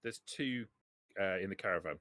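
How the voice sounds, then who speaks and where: A man running a tabletop roleplaying game as game master, narrating in a halting way. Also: sits low, pushed and bright, slight background noise.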